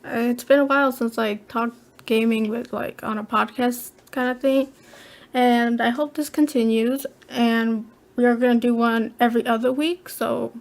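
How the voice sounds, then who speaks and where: A soft-spoken woman hosting a casual gaming podcast from home, chatty and giggly. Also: fairly high pitch, steady tone, moderate pace, creaky. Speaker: podcast host.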